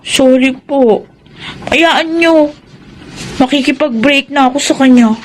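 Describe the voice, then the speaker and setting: An actress playing a meek, apologetic teenage granddaughter in a radio drama, with a Filipino accent. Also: female voice, sits high, takes it slowly, a little hiss or hum. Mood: sheepish.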